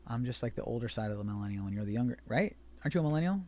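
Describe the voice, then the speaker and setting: A man's voice: medium pitch, lively intonation, rapid-fire, warm tone. Chatty man in his thirties hosting. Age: 30s. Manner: rambling, chatty, self-correcting. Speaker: podcast host. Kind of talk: casual chat.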